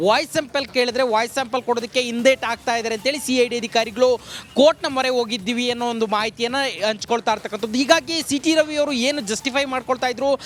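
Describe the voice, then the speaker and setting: A man's voice: extremely high pitch, a bit bright. A man giving an unscripted live news report to the studio, explaining in wordy, formulaic reporter phrasing.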